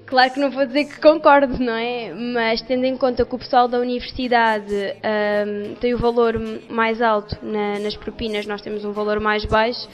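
A woman's voice: a little high, flat delivery, normal speed, few pauses. A young adult woman with a Portuguese accent, a university student giving a spontaneous vox pop answer.

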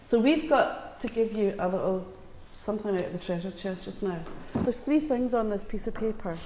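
Woman, mid-pitched voice, encouraging children's workshop facilitator running a group session. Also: normal speed, a little mellow, slight background noise. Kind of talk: leading a children's group discussion. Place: large community hall, indoors.

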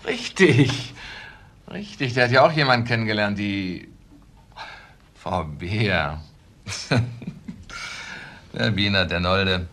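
An actor playing a suave, slippery ex-convict in a crime drama, talking with courteous polish. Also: male voice, lowish voice, lively intonation, takes it slowly, long pauses, a bit bright, heavily acted. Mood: guarded, amused. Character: suave, slippery male ex-convict acting respectable. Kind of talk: German TV crime drama dialogue. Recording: echoey room, faint background noise.

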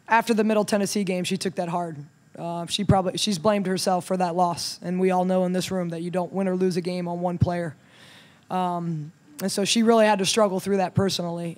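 A woman in her thirties, a head coach, talking through a game at a postgame press conference in a measured way, with many ums and restarts, into a table mic. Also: lowish voice, a little mellow. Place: press room in front of a logo backdrop. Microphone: table mic on a stand.

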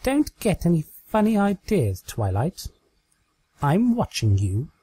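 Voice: medium pitch, wildly swooping pitch, slow pace, warm tone, a little hiss or hum, voice pushed up. A performer voicing a coy, melodramatic female cartoon pony magician in a fan-fiction reading, with an overly dramatic delivery. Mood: coy scolding.

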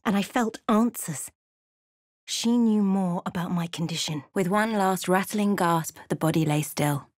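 A woman voicing a wry, intense female adventurer in a fantasy video game, telling her encounters in measured, dramatic storytelling. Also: medium pitch, no echo, studio quality, close to an everyday voice. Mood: grave narration. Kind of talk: scripted fantasy game narration and character lines.

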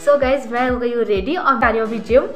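A woman's voice: a little high, quick pace, faint music behind. A woman, chatting casually to her phone held at arm's length for a vlog. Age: 20s. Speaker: vlogger. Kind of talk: casual vlog chat to camera.

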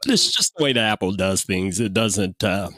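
A man's voice: low voice, big pitch swings, normal speed, bright, forceful tone, no echo, clean studio sound. A man hosting a podcast, chatting casually with a guest in a jokey, easygoing way with frequent chuckles. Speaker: podcast host. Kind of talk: casual interview chat.

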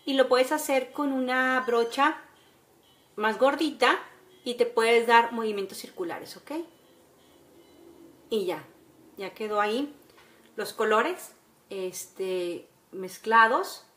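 A woman's voice: fairly high pitch, takes it slowly, long pauses, a bit bright. A woman in her forties with a Mexican accent, chattily talking viewers through a casual makeup tutorial at home. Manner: instructional, chatty, with frequent checking tags. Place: room at home by a window, indoors.

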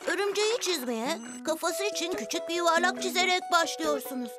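A woman's voice: very high-pitched, lively intonation, fairly quick. A woman voicing a friendly, helpful young rabbit boy who explains encouragingly in a children's cartoon dub. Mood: instructive. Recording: music behind the voice.

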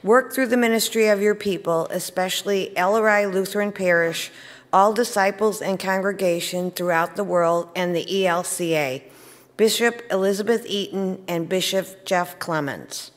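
A woman at a lectern reads prayers of intercession in a large church sanctuary. Her voice is at an average pitch and carries a long, ringing reverb from the hall. She reads clearly and evenly. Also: normal speed. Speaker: lay reader. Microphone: lectern mic, far camera.

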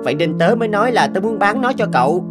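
A man's voice: sits high, lively intonation, fast, over loud music. An actor playing a humble, earnest sixteen-year-old enslaved boy inventor in a cartoon, polite and sincere. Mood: earnest, pleading. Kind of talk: cartoon dubbing.

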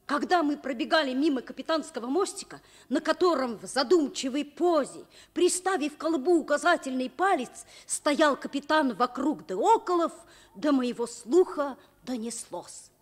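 Earnest, very high-voiced young schoolboy. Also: female voice, expressive, moderate pace. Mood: breathless narrating. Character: earnest schoolboy of about ten, adventurous, easily alarmed. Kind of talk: Soviet children's radio play narration and acting.

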